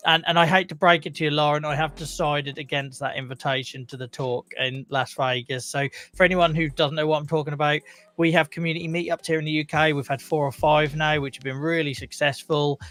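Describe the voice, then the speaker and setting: A young man with a croaky voice hosting a live chronic pain talk show, chatting casually to his viewers with many fillers and laughs. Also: a little high, flat delivery, a little fast, fairly bright tone.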